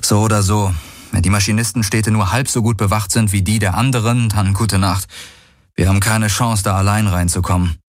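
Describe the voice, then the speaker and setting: Casting: blunt, sardonic, weary male space adventurer for sci-fi audiobook dialogue, done by a male narrator, low-pitched, very dry recording. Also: steady tone, fairly quick, no echo, natural voice. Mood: resigned.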